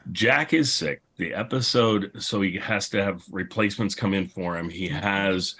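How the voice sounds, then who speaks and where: Man, very low pitch, panel moderator, casual discussion, rambling conversational delivery. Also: normal speed, a bit bright, husky. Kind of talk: casual panel discussion about old radio.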